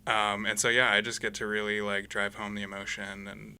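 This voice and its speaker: A man being interviewed as a musician guest, talking casually and haltingly as he searches for words. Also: lowish voice, level tone, sharp tone, clear, resonant tone. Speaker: musician guest, saxophonist for a radio play. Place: radio studio.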